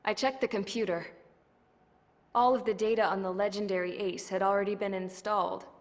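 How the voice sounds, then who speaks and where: A woman's voice: medium pitch, steady tone, fairly quick, lightly acted. A woman playing a blunt tough mechanic. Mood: determined. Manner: blunt, plain-spoken, wry. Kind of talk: game cutscene dialogue and narration. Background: loud music score.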